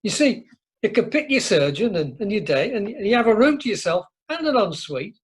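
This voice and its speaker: An actor playing a boastful, jovial older husband with a Yorkshire accent, hearty and repetitive, in an amateur radio comedy. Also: male voice, sits high, very animated, fairly quick, breathy edge, exaggerated voice. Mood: smug. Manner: hearty, repeats himself.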